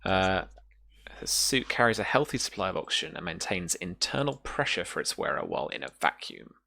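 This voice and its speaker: A woman narrating a tabletop roleplaying game as game master, haltingly feeling her way through the details. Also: very deep voice, very animated, vocal fry, sharp tone.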